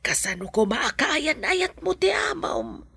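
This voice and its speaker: A woman playing a worried, doubtful mother of a grown son in an audio drama, husky and creaky. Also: high-pitched, big pitch swings, unhurried, pushed and bright, dry recording, exaggerated voice.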